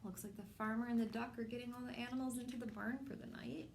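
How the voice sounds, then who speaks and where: A woman hosting a children's storytime, reading a picture book aloud in simple, measured phrases addressed to young children. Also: on the high side, steady tone, slight background noise. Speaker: children's storytime host at a public library.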